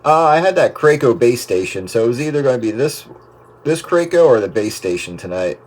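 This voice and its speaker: A man's voice: medium pitch, lively intonation, fairly quick. A man chatting casually and ramblingly over the radio about equipment, trailing off as he goes. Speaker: host of an amateur radio chat. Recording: noisy radio transmission with static.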